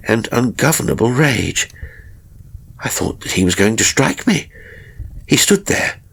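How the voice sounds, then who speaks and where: A man voicing a timid, anxious novelist who recounts a haunting in a measured, theatrical horror audiobook style, with a gravelly, husky voice. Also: mid-range voice, a bit bright, voice pushed up, full character voice. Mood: indignant, disturbed. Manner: measured, theatrical, hesitant pauses. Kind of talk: dramatic first-person horror audiobook narration.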